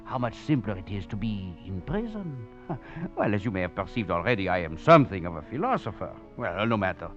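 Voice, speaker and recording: Swaggering French convict, dramatic swooping delivery. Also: male voice, lowish voice, very animated, brisk, a bit bright. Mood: brooding, wry. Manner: theatrical, florid, wry chuckles. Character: swaggering escaped French convict, philosophizing rogue. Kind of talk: 1940s radio drama acting and narration. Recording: muffled old radio recording with music.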